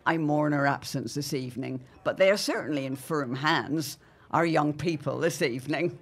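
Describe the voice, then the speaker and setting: An actress playing a grand, gossipy society matron with an arch, formal manner in a period audio drama. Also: female voice, low voice, moderate pace. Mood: arch, inquisitive.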